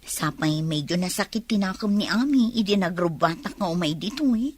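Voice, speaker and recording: A woman's voice: medium pitch, expressive, moderate pace, a little hiss or hum, natural voice. An actress playing a chatty young woman friend in an Ilocano radio drama.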